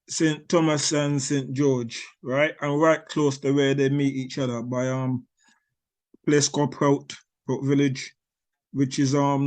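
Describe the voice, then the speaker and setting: A man in his sixties, originally from Barbados, talks about his life in an interview. His answers ramble, full of restarts, fillers and little chuckles. His voice sits at an ordinary pitch. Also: a little mellow. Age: 60s. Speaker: author.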